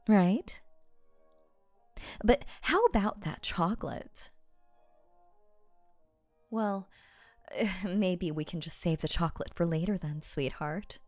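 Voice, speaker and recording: Woman acting a slow-talking, doting, possessive rich girlfriend. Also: fairly low pitch, darkish tone, no room sound. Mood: teasing, doting. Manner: coaxing, teasing, halting playful asides. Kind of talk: ASMR audio roleplay, spoken straight to the listener.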